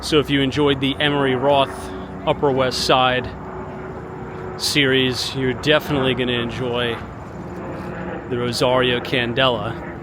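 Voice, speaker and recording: A man with a hoarse voice, still getting over being sick, narrating a casual walking tour outdoors. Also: mid-range voice, a little slow, pauses often. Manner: relaxed, chatty tour guide. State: hoarse, recovering from illness. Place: outdoors on city streets and in a park. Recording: outdoor street noise behind voice.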